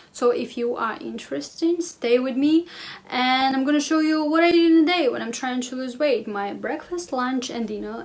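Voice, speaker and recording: Chatty woman in her twenties, English. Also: high-pitched. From Russia. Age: 20s. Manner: chatty, upbeat, hesitant non-native phrasing. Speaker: vlogger. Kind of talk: casual talk to camera and cooking voice-over. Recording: roomy sound with faint music behind.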